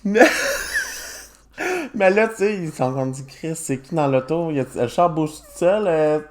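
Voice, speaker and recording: A man's voice: a little high, very animated, measured pace. A young man hosting a casual podcast chat, joking and laughing often and slipping in English words. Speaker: podcast host.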